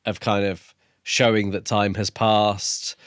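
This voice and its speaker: A man with a fairly low voice, recorded close and dry, talks casually as a podcast co-host. He muses his way through book discussion, feeling for his phrasing and dropping in jokey asides. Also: flat delivery, slow pace, smooth, no room sound.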